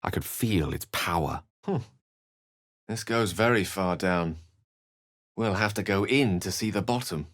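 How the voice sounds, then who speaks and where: A man's voice: sits low, measured pace, long pauses, fairly bright tone, dry recording, studio quality. A man voicing an eloquent, bookish wizard in a fantasy video game, wry and courteous, with articulate, dramatic phrasing. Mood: wary. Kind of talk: theatrical fantasy game dialogue and storybook-like narration.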